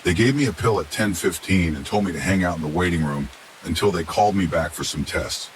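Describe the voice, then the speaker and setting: A man's voice: low voice, brisk, poor audio. A man reading a scripted first-person horror story as its narrator. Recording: steady hiss behind the voice.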